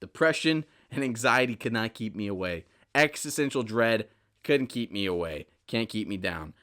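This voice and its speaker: A young man with a fairly low voice talks casually as a solo podcast host. He rambles and interrupts himself, repeating words as he goes. His delivery is animated, moving between emphatic bursts and quieter asides. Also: normal speed. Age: 20s.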